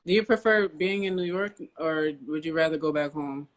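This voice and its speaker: A woman's voice: deep voice, moderate pace. A young woman hosting a podcast, asking questions in a casual, chatty interview style.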